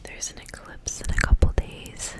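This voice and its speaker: A young woman whispering breathily in a soft, informal ASMR style, chatting casually and sometimes slowly repeating words. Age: young adult. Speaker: ASMR creator. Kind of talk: ASMR intro and casual life update.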